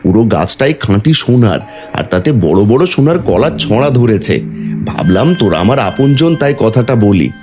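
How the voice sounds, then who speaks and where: Man acting a lively low-voiced village father. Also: moderate pace, music in the background, natural voice. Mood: earnest excitement. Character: kindly, excitable poor village father. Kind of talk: Bengali fairy-tale cartoon voice acting.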